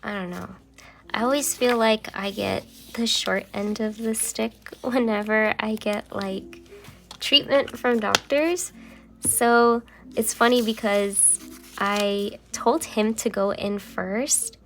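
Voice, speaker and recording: A young woman chatting casually while she journals, rambling with many fillers and sometimes dropping into a soft half-whisper, her voice still a little phlegmy from a cold. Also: mid-range voice, fairly even intonation, takes it slowly, creaky, soft background music. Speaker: journaling vlogger.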